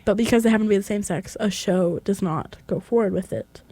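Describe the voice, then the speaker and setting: A woman's voice: mid-range voice, brisk, warm tone, no room sound. A young woman from Tennessee with a light Southern accent, co-hosting a college radio chat show in a giggly, chatty way. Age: young adult. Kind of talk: casual chat.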